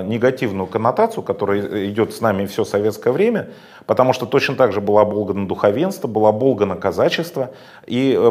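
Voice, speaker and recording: A man's voice: mid-range voice, a little mellow. A man giving long, emphatic, lecturing answers in a political interview, with frequent hesitation sounds. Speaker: interview guest.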